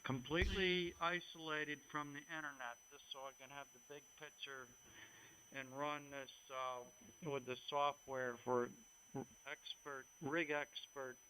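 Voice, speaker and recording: A man's voice: on the high side, fairly even intonation, measured pace, pushed and bright. A man talking casually as an amateur radio operator over a radio transmission. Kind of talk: casual on-air ham radio chat. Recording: noisy radio transmission with hum.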